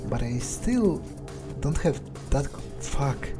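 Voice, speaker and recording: Slow, halting man playing a wary vampire explorer. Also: medium pitch, very animated, over music. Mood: wary, uncertain. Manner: hesitant, muttering, halting with pauses and swearing. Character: wary, foul-mouthed vampire exploring dangerous tunnels. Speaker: gameplay video creator role-playing his character. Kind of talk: in-character improvised gameplay monologue, thinking aloud.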